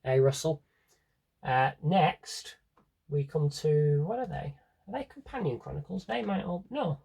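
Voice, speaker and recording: A man with a slightly husky voice rambles casually to viewers as a collector, thinking aloud and interrupting himself in a wry way. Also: a little high, animated, unhurried, a little mellow. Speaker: collector showing his audio drama CDs. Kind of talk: casual rambling vlog chat.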